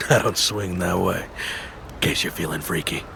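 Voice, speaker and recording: An actor playing a friendly, teasing homeless man in a video game dub, with a gravelly American voice. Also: low voice, a little slow, noisy background, exaggerated voice. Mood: jovial, teasing. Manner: teasing, folksy.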